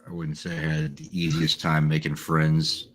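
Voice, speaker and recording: A man's voice: very deep voice, completely flat delivery, moderate pace, a bit bright. A man in his forties telling his life story in a casual interview, laid-back, candid and blunt, speaking into his own microphone on a remote call. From Texas, USA. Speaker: guest, retired Army Special Forces sergeant major.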